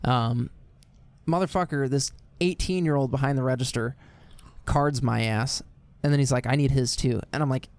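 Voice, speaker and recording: A man in his late twenties with a high voice, co-hosting a podcast and telling profane stories in casual chat. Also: moderate pace, dry recording. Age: late 20s. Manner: loud storytelling, swears often, acts out quotes. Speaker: podcast co-host.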